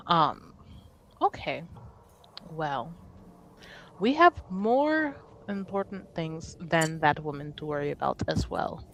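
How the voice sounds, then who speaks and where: Lower-pitched, lively, slow-paced woman improvising. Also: a little hiss or hum, poor audio. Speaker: player in a tabletop roleplaying game. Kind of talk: improvised in-character roleplay and narration.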